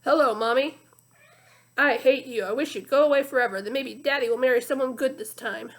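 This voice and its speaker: A sneering male villain from a children's storybook, read by a woman. He is cruel and mocking, and his voice sits high and bright. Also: fairly quick. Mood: mock childish.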